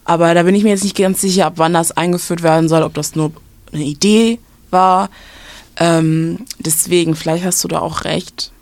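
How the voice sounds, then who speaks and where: A woman's voice: on the low side, steady tone, normal speed, a little mellow, dry recording. A young woman from western Germany giving casual school advice, explaining at length with many fillers and restarts.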